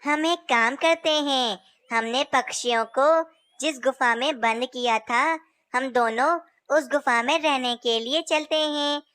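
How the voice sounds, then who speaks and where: Played by a woman, this cartoon owl is a scheming male brother with a high, unhurried voice. It sounds smooth and clean, recorded close with no room sound. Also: a bit bright, no room sound. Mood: worried. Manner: sly, then apologetic. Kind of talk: Hindi cartoon voice acting.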